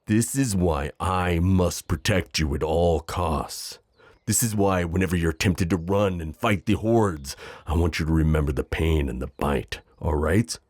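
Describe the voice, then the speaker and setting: Possessive knight and mentor, acted by a man: courtly, gently hesitant, gravelly, recorded very dry and close. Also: a bass voice, no room sound, heavily acted. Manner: formal, courtly, tender, hesitant. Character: protective, possessive gallant knight, mentor to a princess. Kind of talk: intimate roleplay audio acting.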